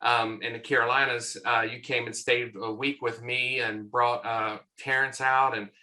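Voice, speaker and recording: Southern-accented middle-aged man, very bright tone, friendly rambling guest on a church podcast. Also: mid-range voice, little pitch movement, normal speed, poor audio. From American South. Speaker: church consultant and conference leader.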